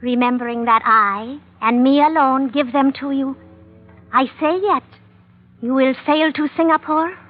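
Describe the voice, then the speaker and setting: High-voiced, proud, formal young foreign woman. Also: unhurried, soft background music. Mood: imperious, formal. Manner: stilted, formal, oddly grand phrasing. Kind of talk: old-time radio adventure acting. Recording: muffled vintage radio recording.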